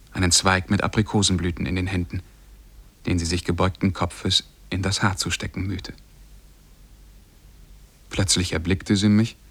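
An actor playing a lovestruck, shy young man who tells his tale in measured, poetic German radio drama style. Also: sits low, a bit bright. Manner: measured, poetic, careful diction.

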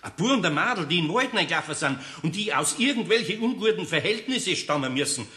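A man's voice: high-pitched, big pitch swings, pushed and bright, exaggerated voice. A man with a Bavarian accent playing a kindly, good-natured older master carpenter in a radio play, chuckling heartily. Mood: amused. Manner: chuckling, hearty, drawn-out exclamations. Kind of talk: 1960s German radio play acting.

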